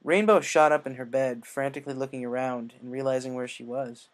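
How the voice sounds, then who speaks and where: A man reading a scripted story as narrator, in a measured way with occasional stumbles. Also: medium pitch, steady tone. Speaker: story reader.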